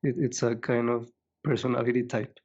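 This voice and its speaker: Soft-spoken Colombian man on a muffled line. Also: mid-range voice, level tone, normal speed. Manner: soft-spoken, modest, repeats words as he goes. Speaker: guest, tea adviser. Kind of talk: casual interview.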